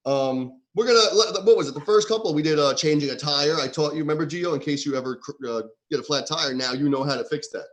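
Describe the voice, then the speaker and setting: Man, co-host, slightly high voice, teasing, deadpan parody, remote call. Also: fast talker, hardly any pauses. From New York. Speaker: podcast co-host. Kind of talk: mock-serious comic segment, casual chat.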